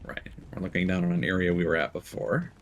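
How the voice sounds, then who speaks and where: A young man with a fairly low voice chats loosely while he plays, drifting from thought to thought with plenty of "uh" and "like". He stays relaxed but now and then jumps up into a sudden excited burst. A constant hiss of background noise sits under his voice. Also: quick pace, muffled recording. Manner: rambling, thinking aloud with many fillers. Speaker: game streamer. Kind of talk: casual gameplay commentary.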